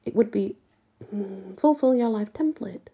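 A woman's voice: mid-range voice, animated, measured pace, warm tone, pitched above the performer's own voice, close to an everyday voice. A woman voicing a sniping fairy aunt in a storybook, curt and condescending. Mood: disapproving. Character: sniping, disapproving fairy aunt with tiny eyes.

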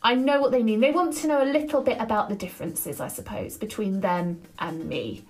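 A woman hosting her own podcast, talking alone in an energetic, chatty way with a loud voice and frequent ums. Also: mid-range voice, fairly quick, low-quality recording. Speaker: podcast host. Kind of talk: solo podcast monologue.

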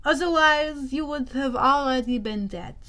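A woman voicing a menacing male monster posing as a doctor, in a slow, formal, commanding manner for an audiobook. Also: high voice, sharp tone. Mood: cruel taunting.

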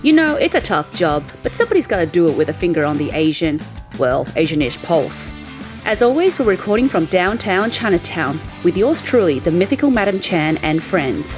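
A woman's voice: fairly low pitch, big pitch swings, a little fast, soft background music. A woman reading a scripted podcast intro in a playful, theatrical way.

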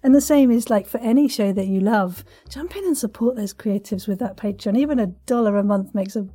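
Husky, mellow woman, effusive host. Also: fairly high pitch, fairly quick. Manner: effusive, playful, quirky wording. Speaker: podcast host, interviewer. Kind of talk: casual interview chat.

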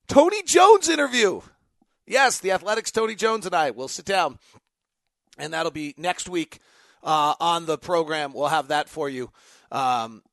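A man's voice: fairly high pitch, a bit bright, dry recording. An American man hosting live sports commentary, with an emphatic, conversational announcer's delivery. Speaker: sports podcast host; radio play-by-play announcer.

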